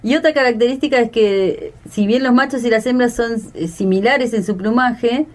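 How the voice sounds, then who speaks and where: A woman's voice: fairly high pitch, normal speed. A woman co-hosting a radio programme, explaining things in a conversational style. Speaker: radio co-host. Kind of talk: conversational educational radio talk.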